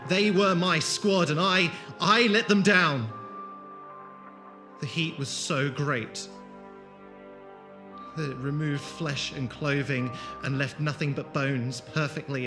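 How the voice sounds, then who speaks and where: A man in an audio drama playing a haunted former soldier and leader, speaking in a deliberate, dramatic way. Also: fairly high pitch. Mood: anguished, grieving. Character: haunted, grieving male former soldier, brisk leader of travellers.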